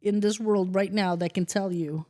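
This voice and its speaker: A middle-aged woman from the Philippines chatting on a podcast. Her voice is mellow and warm at a middling pitch. She tells stories in an animated way, acts out what people said and swears casually. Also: normal speed. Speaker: guest, host's mother.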